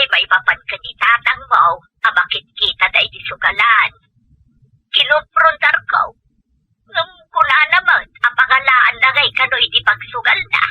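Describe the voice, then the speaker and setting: Woman acting a shrill, aggrieved Ilocano mother. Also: sharp tone, husky, no room sound, heavily acted, poor audio. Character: worried, aggrieved mother tattling on her gambling husband and son-in-law. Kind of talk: Ilocano comedy drama acting.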